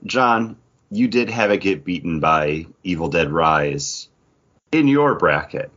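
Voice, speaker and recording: A man hosting a casual podcast, chatting in an animated, joking way with quick asides. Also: low-pitched, muffled recording. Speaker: podcast host.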